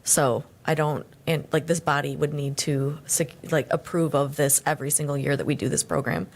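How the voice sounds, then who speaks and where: Low gravelly woman at a council meeting, kinda tentative, thinking out loud. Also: little pitch movement, moderate pace. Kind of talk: discussion at a public meeting.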